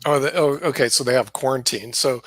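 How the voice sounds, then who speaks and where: A man hosting a loose chat over a video call, rambling along with plenty of ums and false starts and laughing at himself. His voice sits at an ordinary middle pitch, and the sound is close and dry with no room in it. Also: brisk, a bit bright, dry recording. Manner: rambling, laughing, many fillers and restarts. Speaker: host.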